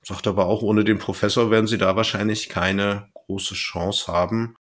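A man with a fairly low voice talks as a podcast co-host. He retells a story in a loose, colloquial way, with jokey turns of phrase, as part of a casual chat. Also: flat delivery, moderate pace, a bit bright.

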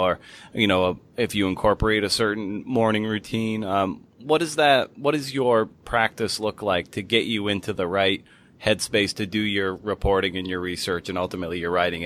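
A man's voice: fairly low pitch, fairly bright tone. A man hosting a podcast, asking questions conversationally and rephrasing them as he goes. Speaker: podcast host, interviewer. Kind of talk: conversational interviewing.

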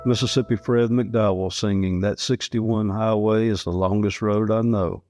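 A man's voice: on the low side, fairly even intonation, normal speed, darkish tone, faint music behind. A man reading a literary travel story aloud as scripted narration.

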